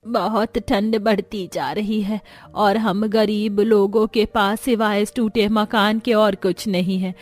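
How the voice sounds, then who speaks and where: A woman's voice: medium pitch, steady tone, slow pace, few pauses. A woman voicing earnest, caring women of a poor village family in a cartoon story. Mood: weary, worried. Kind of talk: Hindi cartoon story dialogue.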